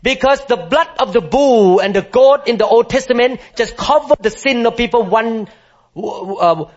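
A male pastor preaching a sermon to a congregation, emphatic and rising to high, impassioned declarations, calling for responses from the room. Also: moderate pace. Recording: muffled lo-fi sermon recording.

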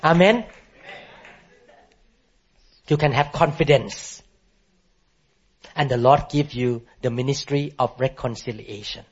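A male pastor preaching a sermon to a congregation, emphatic and rising to high, impassioned declarations, calling for responses from the room. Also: takes it slowly, long pauses, breathy edge. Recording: muffled lo-fi sermon recording.

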